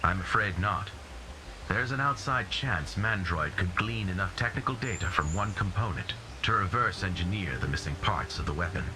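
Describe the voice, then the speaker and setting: An actor playing a noble, solemn giant robot commander in a video game, speaking in a grave, formal, measured way. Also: male voice, deep voice, expressive, noisy background. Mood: commanding, grave. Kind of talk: scripted video game cutscene acting.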